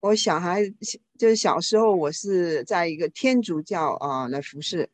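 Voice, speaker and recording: A woman interpreting a speaker's talk into another language live, quickly and informally, often correcting herself. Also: lowish voice, lively intonation.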